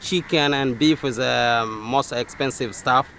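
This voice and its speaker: Local man with a Swahili accent, hesitant interviewee, average pitch, quiet ambience behind. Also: creaky, slight background noise. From Zanzibar, Tanzania. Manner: halting, many uh fillers and restarts. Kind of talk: casual interview answers.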